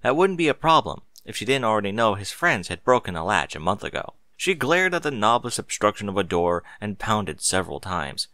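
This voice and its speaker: A man reading a story aloud as narrator, in an expressive scripted style. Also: fairly low pitch, brisk, few pauses, no echo, clean studio sound.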